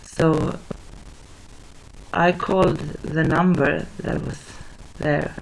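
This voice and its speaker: Young woman vlogging from her living room; slow, halting, monotone, with a gravelly fry. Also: deep voice, poor audio. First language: Swedish. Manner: hesitant, halting, many fillers and restarts. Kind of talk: casual talk to camera. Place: small living room at home, indoors. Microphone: webcam at desk distance.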